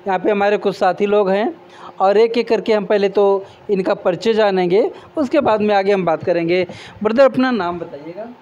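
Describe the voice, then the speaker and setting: High-voiced man hosting a village interview. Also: normal speed, a little mellow, dull audio. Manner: polite, prompting guests one by one. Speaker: reporter and host. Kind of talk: local election street interview. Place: outdoors in a village.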